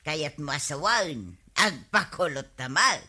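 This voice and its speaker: A woman's voice: deep voice, animated, slow, bright, forceful tone, full character voice. A woman with an Ilocano accent playing a vain, boastful, giggly village wife in a radio comedy drama. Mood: vain, excited.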